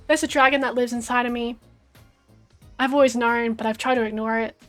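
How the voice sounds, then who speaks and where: A bitter ghost woman who broods over revenge, speaking softly and slowly with weight on certain words, as a hoarse Australian woman reads her lines aloud. The voice is bright and a little high, with a strained hoarseness. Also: fairly even intonation, faint music behind, close to an everyday voice.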